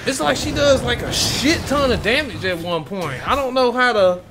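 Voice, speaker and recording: High-voiced young man doing gameplay commentary. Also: expressive, moderate pace. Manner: slangy, sweary, rambling. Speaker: gaming video host. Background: game music.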